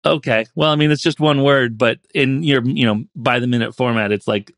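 A man on a podcast panel chatting casually about films, with a tentative, halting and wry way of talking. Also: medium pitch, fairly even intonation, creaky, fairly bright tone, no room sound, clean studio sound. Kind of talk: casual film chat.

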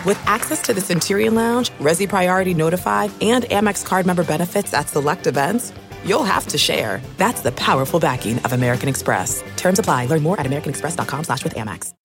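Lively low-voiced woman over music. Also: fast talker. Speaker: commercial voice-over announcer. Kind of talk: polished scripted ad narration.

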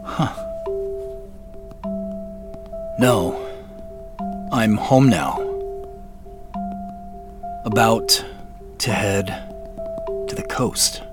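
An actor playing a hesitant, apologetic professional man in a naturalistic audio drama, with a halting, awkward way of talking. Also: lowish voice, music in the background. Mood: agitated, then quiet. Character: Joseph, hesitant, apologetic middle-aged professional man with dad jokes.

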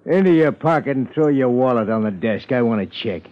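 Tough thirtyish American muscle man played by a man, medium pitch, clipped threats, dull vintage radio sound. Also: lively intonation, fairly quick, close to an everyday voice. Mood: menacing. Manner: curt, slangy tough talk. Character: short, menacing thirtyish male gunman, tough and sardonic. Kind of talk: 1950s radio crime drama acting. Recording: dull 1950s radio recording.

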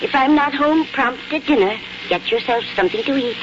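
A woman's voice: high-pitched, expressive, brisk, noisy background. An actress playing a wistful, devoted elderly mother in a 1940s radio drama, speaking in a homely, reflective way. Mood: matter-of-fact. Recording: muffled 1940s radio broadcast with music.

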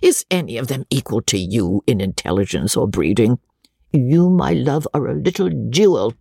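A reader voicing a shrill, imperious elderly spinster who is wealthy and witty, in a storybook reading. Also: lively intonation, moderate pace, gravelly, dark tone, slightly rough, no echo, studio quality. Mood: fond, teasing. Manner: commanding, teasing.

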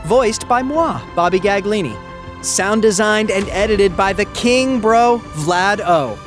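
A man's voice: high-pitched, lively intonation, a little slow, over music. Playful man reading end credits. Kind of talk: scripted credits read.

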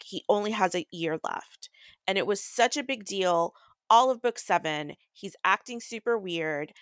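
A woman's voice: medium pitch, fairly bright tone, no room sound. Earnest woman podcaster, very dry recording. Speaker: podcast co-host. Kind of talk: casual chat about books.

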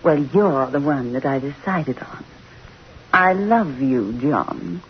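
A woman's voice: low voice, animated, slow pace. An actress playing a possessive, unloved wife in a radio horror drama, blunt and pointed in her delivery. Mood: resigned, possessive. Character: domineering, possessive, unloved wife, big and bitter. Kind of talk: 1960s radio horror acting. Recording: muffled, noisy old radio recording.

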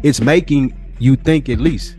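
A man's voice: mid-range voice, a little slow, mellow, soft background music. A man co-hosting a podcast in casual, opinionated chat, speaking emphatically with slang and free swearing. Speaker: podcast co-host.

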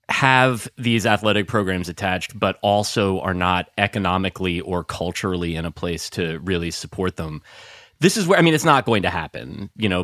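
A man's voice: lowish voice, fairly bright tone, no echo, clean studio sound. A man co-hosting a casual sports podcast, talking in a rambling, wry way with some swearing. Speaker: podcast co-host.

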